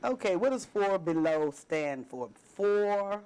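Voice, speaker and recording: A woman's voice: mid-range voice, lively intonation, slow, darkish tone, clear, resonant tone, low-quality recording. A woman hosting a local talk show, chatting casually with her guests and laughing often. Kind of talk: casual interview.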